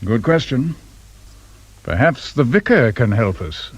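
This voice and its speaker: An actor playing a polite, probing senior detective who questions crisply in a radio crime drama. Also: male voice, mid-range voice, expressive, a little slow, highs cut off. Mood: brisk. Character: courteous, probing male senior detective in charge.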